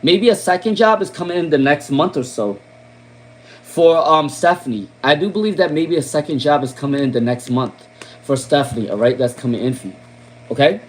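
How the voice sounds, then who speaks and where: Chatty Long Island man with a high voice. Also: fairly quick, a little hiss or hum. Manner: repeats names and questions, ends lines with "okay?" and "all right?"; casual, chatty, lots of "um". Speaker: tarot reader. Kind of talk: live-stream tarot reading.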